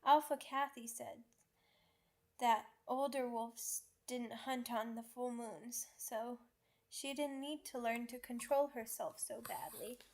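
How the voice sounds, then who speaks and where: A woman's voice: a little high, slow. A woman acting a young werewolf pup girl in an ASMR roleplay, timid, earnest and sweet, with a hesitant, childlike way of talking. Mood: worried, confiding. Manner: hesitant, simple childlike wording with stammered repeats.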